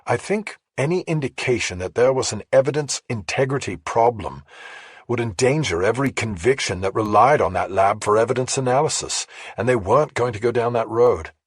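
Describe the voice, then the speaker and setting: A man voicing a measured, matter-of-fact female investigative journalist in an audiobook, explaining things carefully. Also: mid-range voice, expressive, breathy edge, no echo, clean studio sound, pitched above the performer's own voice. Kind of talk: audiobook character dialogue.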